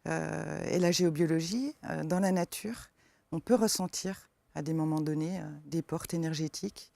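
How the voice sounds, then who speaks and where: Soft-spoken woman with eastern French accent. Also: low-pitched, moderate pace, vocal fry, no room sound. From eastern France. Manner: soft-spoken, hesitant with many fillers. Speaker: forest therapy guide. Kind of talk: interview answers.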